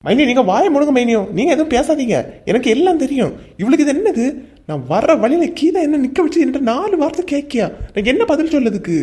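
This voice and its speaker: A domineering cartoon husband as a woman acts him scolds in a high voice with wide swings of pitch. He is angry, bossy and accusatory, speaking in Kanyakumari Tamil. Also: sing-song, fast talker, warm tone. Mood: scolding, exasperated. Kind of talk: animated comedy drama dialogue.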